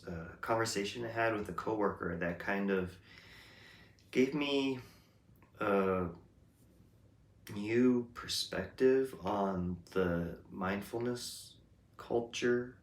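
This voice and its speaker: A man with a deep, low voice talks through his thoughts at an unhurried pace. He hesitates often and restarts his sentences as he reflects aloud. The room around him gives his voice a noticeable echo. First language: English. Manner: slow, hesitant, many ums and restarts. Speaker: host. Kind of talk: reflective casual monologue. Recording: roomy echo.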